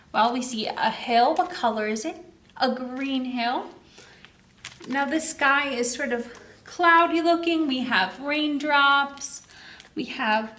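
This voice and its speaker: A woman's voice: high-pitched, bright, forceful tone. A woman leading a children's story time, reading a picture book aloud and explaining it simply, asking questions and answering them herself. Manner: simple teacherly phrasing, asks and answers own questions. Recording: echoey room, distant microphone.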